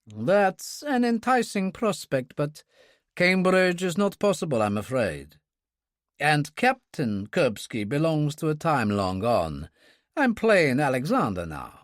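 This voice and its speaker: A man playing a suave, unhurried Russian charmer. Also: very animated, no room sound, studio quality. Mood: smooth, ironic. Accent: slight Russian. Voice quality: pleasantly deep. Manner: unhurried, courtly, ironic. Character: suave Russian man, charming, lazily insolent, self-mocking.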